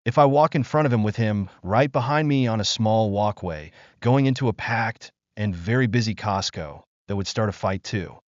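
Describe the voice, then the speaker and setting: A man narrating a first-person relationship story from an online post in a steady, even reading voice. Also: lowish voice, moderate pace, few pauses, dry recording. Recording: dry, slightly dull lo-fi sound.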